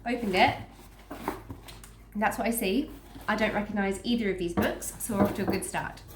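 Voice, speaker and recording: A woman's voice: medium pitch, normal speed. A woman with a stuffy nose from a cold, chatting upbeat through a book box unboxing and reading the blurbs aloud. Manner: chatty, upbeat, restarts sentences. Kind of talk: casual unboxing chat with read-aloud blurbs. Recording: echoey room with faint noise.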